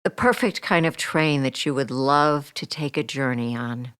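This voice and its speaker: A woman leading a guided meditation as a hypnotherapist, speaking soothingly and gently with deliberate pauses, her soft phrases trailing off toward a near whisper. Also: extremely low pitch, clear, resonant tone, no room sound, clean studio sound. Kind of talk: guided meditation, relaxation script.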